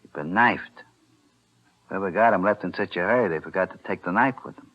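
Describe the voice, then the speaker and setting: Mean slangy gangster thug, deep voice, threatening, old muffled radio show. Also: male voice, brisk. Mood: grim, sly. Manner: slangy, hard-bitten. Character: threatening, double-crossed gangster hoodlum with a gun. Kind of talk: 1940s radio thriller acting. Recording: muffled vintage radio broadcast.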